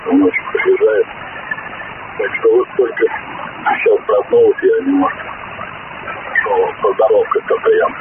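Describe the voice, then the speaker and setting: A man taking part in an amateur radio round table, talking casually and haltingly over a two-way radio. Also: low-pitched, steady tone. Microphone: two-way radio microphone. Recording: very noisy, phone-like two-way radio transmission.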